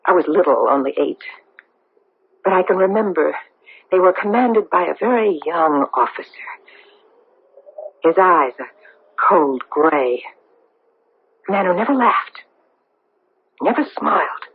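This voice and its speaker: An actress playing a married war survivor, coolly seductive and vengeful, with deliberate theatrical pauses in a radio mystery drama. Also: female voice, fairly low pitch, animated. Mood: bitter, haunted recollection. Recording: noisy, tinny band-limited old radio broadcast.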